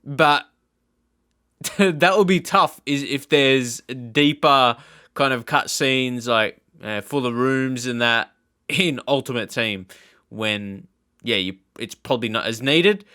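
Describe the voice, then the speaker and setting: A man's voice: mid-range voice, slow pace, bright, forceful tone, smooth, no room sound, clean studio sound. A man giving casual reaction commentary as a gaming YouTuber, thinking aloud in a hesitant, rambling way. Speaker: video game YouTuber.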